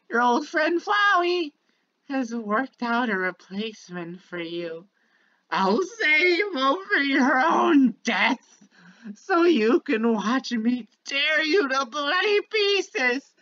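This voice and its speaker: Villainous little game flower: high, slow-paced, cutesy turning cruel, theatrical menace; lo-fi sound. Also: female voice, sing-song, sharp tone, heavily acted. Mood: maniacal triumph. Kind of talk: dramatic villain monologue from a game.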